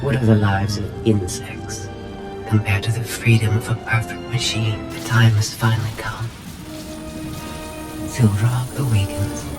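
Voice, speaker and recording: An actor voicing a cold, haughty machine villain in a video game, declaiming with contempt for living things. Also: male voice, fairly low pitch, little pitch movement, a little slow, lightly acted. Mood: triumphant. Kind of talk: game cutscene acting. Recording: loud music behind.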